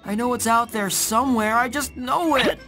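A performer voicing an earnest, obsessive dog in an animated comedy sketch. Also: medium pitch, normal speed, over music, close to an everyday voice. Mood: desperate. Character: earnest cartoon dog obsessed with a lost ball.

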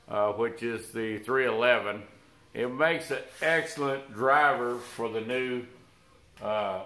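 An older man talks slowly through a how-to in a workshop. His voice is scratchy from flu but has a forceful edge, and he speaks in halting stretches. The room adds noticeable echo. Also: medium pitch. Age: older, around 60s. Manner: halting, folksy. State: unwell with flu. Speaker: host of a workshop restoration vlog. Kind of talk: unscripted how-to talk to camera. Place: cluttered workshop, indoors.

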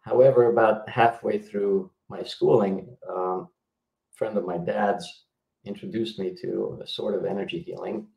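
Gravelly middle-aged man on a video call. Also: on the low side, steady tone, a little slow, mellow, roomy sound, low-quality recording. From Yugoslavia. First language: Hungarian. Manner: explanatory, many fillers and restarts. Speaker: guest, bioenergy healer. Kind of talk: interview over video call. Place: indoor home room.

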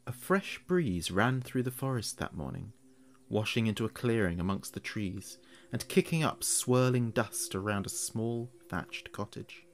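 Slow, low-voiced male horror narrator. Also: lively intonation. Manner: measured, dramatic pauses.

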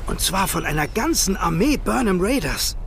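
A man's voice: high voice, sing-song, normal speed, gravelly, a bit bright, a little hiss or hum, full character voice. A man playing a gaunt, proud and wary elderly fisherman in a children's audio drama, theatrical and sighing. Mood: stern warning. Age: older.

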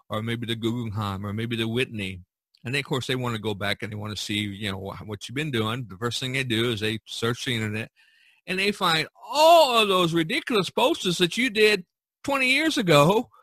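Bright-voiced man rambling on a podcast. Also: mid-range voice, dry recording. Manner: rambling, emphatic, repeats phrases for stress. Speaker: podcast host. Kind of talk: casual podcast talk, long monologue.

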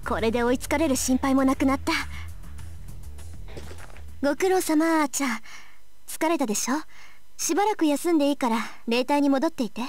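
A young woman voicing a brisk, confident teenage schoolgirl mage in an anime-style game. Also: high voice, darkish tone, dry recording, close to an everyday voice. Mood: brisk, relieved. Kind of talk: Japanese anime game voice acting. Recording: game audio with music behind.

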